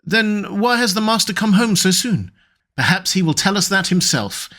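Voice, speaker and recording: A man's voice: high voice, sing-song, moderate pace, bright, forceful tone, pitched above the performer's own voice, heavily acted. A man voicing an anxious, timid female housekeeper in an audiobook reading, fluttery and alarmed. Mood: flustered.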